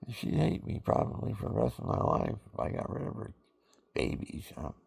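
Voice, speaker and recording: An older man with a deep voice, talking in a rambling, folksy personal monologue full of fillers. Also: huge pitch swings, brisk, vocal fry, darkish tone, breathy edge. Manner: folksy, meandering, with many fillers.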